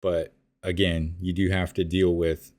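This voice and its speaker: Deep-voiced man chatting casually. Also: a little slow. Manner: relaxed and conversational, frequent fillers and restarts. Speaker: podcast host answering listener emails. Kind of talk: casual spoken monologue.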